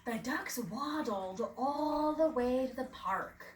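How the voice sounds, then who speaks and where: Very slow, high-pitched woman reading to children. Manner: expressive, dramatic emphasis, asks young listeners questions. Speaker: preschool teacher reading aloud. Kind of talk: children's picture book read-aloud. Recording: roomy, distant mic, faint background noise.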